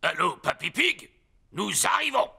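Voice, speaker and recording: Gravelly old pirate grandpa. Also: male voice, sits high, big pitch swings, unhurried, bright, forceful tone, slight background noise, full character voice. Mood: commanding. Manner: grandiose, swaggering storyteller. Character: theatrical old sea-dog grandpa playing a pirate captain. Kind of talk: preschool cartoon dub. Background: music.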